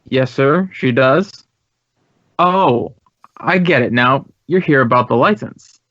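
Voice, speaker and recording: Talkative polite american drama school boss, old time radio acting, dry muffled recording, mid voice. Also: male voice, mid-range voice, animated, takes it slowly, fairly bright tone, dry recording. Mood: puzzled, chatty. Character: earnest, talkative male drama school director.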